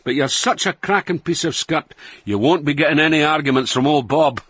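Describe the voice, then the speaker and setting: A man's voice: on the high side, fairly quick, a bit bright, slightly rough, no room sound. An actor playing a roguish, boastful Scottish man in his sixties from Dundee in a radio comedy, colloquial and mock-sincere. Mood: flirty, smooth. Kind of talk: radio comedy acting, reading out emails.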